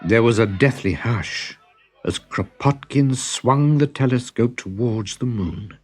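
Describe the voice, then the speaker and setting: A man narrating a children's story in a dramatic, deliberate way, with expressive swells and hushes. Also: mid-range voice, breathy edge. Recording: music behind the voice.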